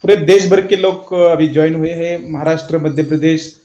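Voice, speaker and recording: A man with a fairly high, even voice moderates an online discussion and passes on audience requests. His tone is soft-edged and mellow, in dull, echoey audio. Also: moderate pace.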